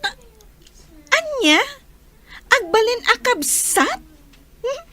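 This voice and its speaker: A woman's voice: extremely high pitch, very animated, drawn-out words, bright, forceful tone, exaggerated voice. A woman playing a nosy, teasing schoolgirl sidekick in an audio drama, with exaggerated delivery. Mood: puzzled, nosy.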